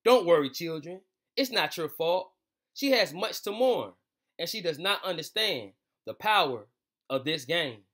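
A man voicing a kind, encouraging older man in a storybook, gently and earnestly reassuring children. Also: fairly high pitch, expressive, a little slow.

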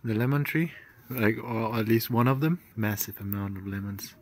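A man's voice: on the low side, normal speed. A man in his thirties chatting casually as he vlogs outdoors on a hillside farm, phone held at arm's length, with fillers and restarts. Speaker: vlogger. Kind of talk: casual travel vlog narration.

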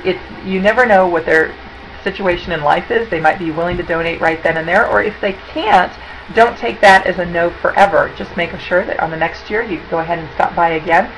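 Gravelly-voiced woman giving chatty webcam tips. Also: fairly low pitch, normal speed, slight background noise, poor audio. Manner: chatty, upbeat and conversational, with fillers. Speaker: auction consultant presenting tips. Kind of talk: casual webcam presentation over slides. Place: indoor room. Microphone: webcam at desk.

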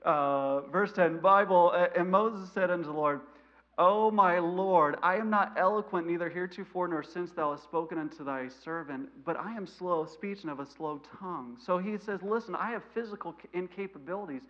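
A man in his thirties with a mild stutter, preaching a sermon in a church sanctuary, animated and gesturing, swinging between loud emphasis and quiet asides. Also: sits high, normal speed. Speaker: missionary preacher. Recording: lo-fi church recording.

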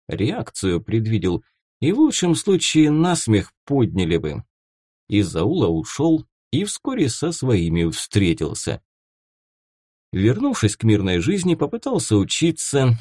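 Male audiobook narrator for first-person fiction, mellow low voice with very varied intonation. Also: no room sound, studio quality.

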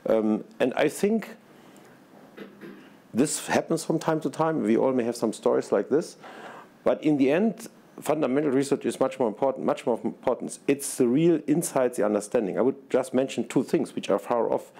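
An older man in his sixties with a German accent speaks earnestly on a conference panel, often repeating words as he hunts for the right phrase. His tone is mellow and dark. He speaks into a lapel mic. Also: mid-range voice, normal speed, gravelly. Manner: earnest, repetitive, searching for words. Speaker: research institute president, panelist. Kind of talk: panel discussion remarks. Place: large conference stage before an audience.

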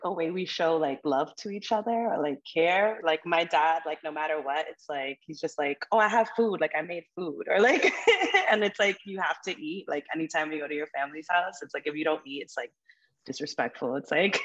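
A young woman with a very low voice talks casually, sprinkling in "like" as she explains her work. The sound is a muffled video call from a room at home. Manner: casual, animated gestures, frequent "like". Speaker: artist. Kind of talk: video call artist talk. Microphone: laptop video call. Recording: dull video call audio.

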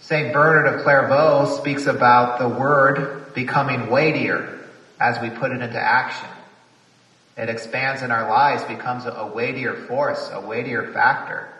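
A man's voice: mid-range voice, fairly even intonation, a little slow, pushed and bright, roomy sound, in a large hall, far from the mic, dull audio. A man, a priest, preaching a reflective homily at a retreat, repeating phrases for emphasis.

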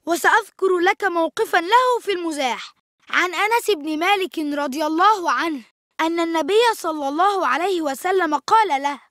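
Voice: very high-pitched, moderate pace, a bit bright, no room sound, studio quality. An actor voicing an earnest boy in a cartoon, who speaks to his brother in an instructive manner. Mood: earnest, advising. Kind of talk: animated cartoon dialogue.

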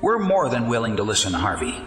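An actor playing a placating corrupt city politician in an audio drama. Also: male voice, medium pitch, sing-song, brisk, fairly bright tone. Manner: appeasing. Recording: echoey hall, faint music.

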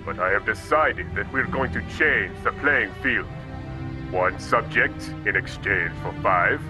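An actor playing a cold, condescending male corporate villain who makes quiet threats, in an audio drama. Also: fairly low pitch, unhurried, music in the background, lightly acted. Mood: menacing. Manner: smooth, condescending, coolly threatening.

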